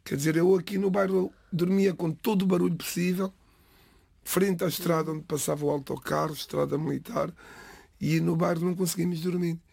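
Gravelly, husky man speaking haltingly. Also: a little high, fairly even intonation, a little mellow, no echo. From Portugal, Lisbon area. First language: Cape Verdean Creole. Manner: halting, restarts phrases, pauses between words. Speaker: interviewee. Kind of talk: reflective personal testimony.

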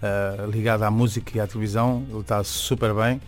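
A man's voice: on the low side, little pitch movement, fast talker, soft background music. A man from Venezuela, a native Spanish speaker, chatting casually as an interview guest, hesitating and repeating words. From born in Venezuela, in Portugal from age ten.

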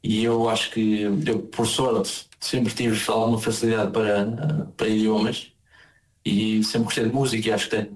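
A man from Portugal with a European Portuguese accent, talking in an interview about his life abroad, often repeating words as he searches for them. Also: lowish voice. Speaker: interviewee, emigrant living in Argentina. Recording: echoey room.

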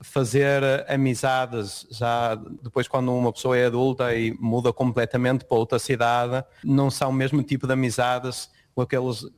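A man from Portugal, a university lecturer answering interview questions in a relaxed, conversational way with frequent restarts. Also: mid-range voice, moderate pace, few pauses. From northern Portugal. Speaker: guest, university lecturer living abroad.

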